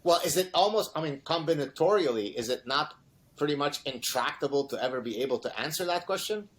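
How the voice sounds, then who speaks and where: A man hosting an interview, asking quick, probing questions. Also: a little high, animated, fairly bright tone. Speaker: podcast host.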